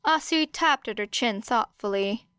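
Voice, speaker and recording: A woman's voice: fairly high pitch, expressive, measured pace, fairly bright tone, clear, resonant tone, dry recording, studio quality. A woman narrating a story as a scripted audiobook reading.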